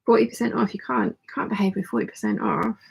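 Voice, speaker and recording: A woman with a croaky voice, chatting casually and ramblingly as the host of a live hangout. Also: on the high side, fairly even intonation, quick pace. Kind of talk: casual livestream chat, reading out viewer comments.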